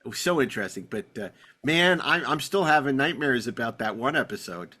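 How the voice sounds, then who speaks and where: A man hosting a podcast in casual discussion, telling rambling anecdotes with halting, repeated words. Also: mid-range voice, animated, sharp tone. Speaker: podcast host. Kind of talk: casual panel discussion of classic TV.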